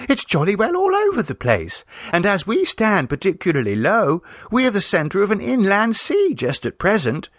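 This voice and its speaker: Man doing posh teen girl, high swoopy voice, breezy and dramatic, mischievous tall tale type, edwardian story. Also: huge pitch swings, normal speed, hardly any pauses, fairly bright tone, no room sound, studio quality, heavily acted. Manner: grand, breezy, impressive. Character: dramatic, self-assured sixteen-year-old Edwardian niece, mischievous tall-tale teller.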